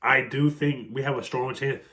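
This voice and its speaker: A man talks in a hoarse, raspy voice roughened by a cold. His talk is loose, casual fan commentary, broken up by ums and the occasional throat clear. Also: mid-range voice, fairly even intonation, normal speed, pushed and bright. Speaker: sports video host.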